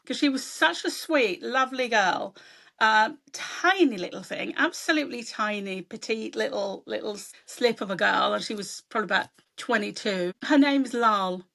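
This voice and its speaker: A woman's voice: a little high, expressive, moderate pace, gravelly, fairly bright tone. A middle-aged woman from Sweden, an author, chatting casually and telling stories in an interview. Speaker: author guest.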